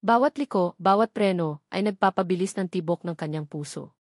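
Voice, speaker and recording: A woman's voice: lowish voice, a little fast, dry recording, studio quality. A woman with a Filipino accent reads a dramatic romance story as scripted narration.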